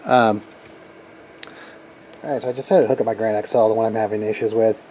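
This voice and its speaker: A man's voice: lowish voice, fairly even intonation, brisk, darkish tone, slight background noise. A man giving a casual hands-on tech demo as a hobbyist, talking informally and rambling as he thinks aloud. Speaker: hobbyist radio operator demonstrating equipment.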